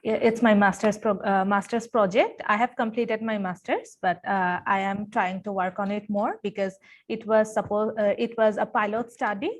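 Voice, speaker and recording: A woman's voice: medium pitch, fairly even intonation, moderate pace. A young woman from Bangladesh, a conference presenter answering politely and briefly on a video call. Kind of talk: casual exchange on a video call.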